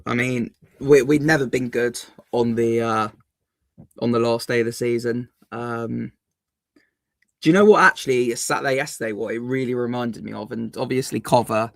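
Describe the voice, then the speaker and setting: Casual fan-podcast co-host, man, croaky and gravelly, even-toned. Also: mid-range voice, normal speed, dry recording, studio quality. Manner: chatty, lots of ums and restarts. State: slightly croaky voice. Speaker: co-host of a football fan channel.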